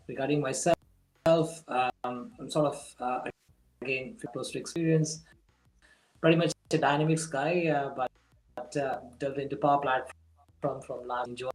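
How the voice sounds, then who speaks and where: A man introducing himself as a panel guest, halting and full of pauses. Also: mid-range voice, low-quality recording. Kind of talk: casual online panel chat.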